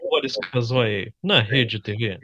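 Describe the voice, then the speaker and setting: A man's voice: mid-range voice, slow pace, a bit bright, no room sound. A man with a Brazilian accent chatting casually over an online voice call. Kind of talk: casual chat. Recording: dull, noisy voice call.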